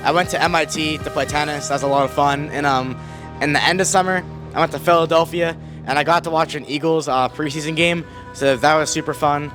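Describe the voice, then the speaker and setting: A teenage male student gives a casual interview answer. His voice is a little high for a man, and clear music plays behind it. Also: fairly even intonation, a little fast.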